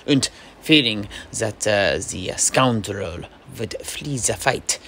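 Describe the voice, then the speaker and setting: Man playing a lively, courteous knightly storyteller. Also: lowish voice, slow, a little hiss or hum, exaggerated voice. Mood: dramatic storytelling. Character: courteous mercenary knight retelling his grandfather's sea adventure. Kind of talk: in-character fantasy storytelling.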